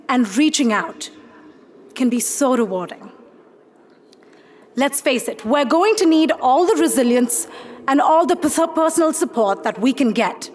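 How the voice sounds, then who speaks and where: A young woman with a high, light voice gives an earnest commencement address to her fellow graduates. She speaks in measured, prepared phrases and builds to rhetorical questions. There is a faint murmur of ambience behind her. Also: a little hiss or hum. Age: young adult.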